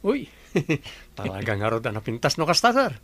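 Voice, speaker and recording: A man's voice: mid-range voice, huge pitch swings, normal speed, fairly bright tone. A man with an Ilocano accent playing a nosy, teasing office colleague in a radio drama. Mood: inquisitive. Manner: teasing, inquisitive.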